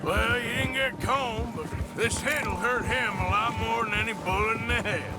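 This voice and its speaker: Western game role: menacing outlaw gunman, dry folksy drawl, high-set voice, loud music behind. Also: moderate pace. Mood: dry, matter-of-fact. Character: tough, menacing, sardonic male outlaw gunman. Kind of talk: dramatic western video game acting.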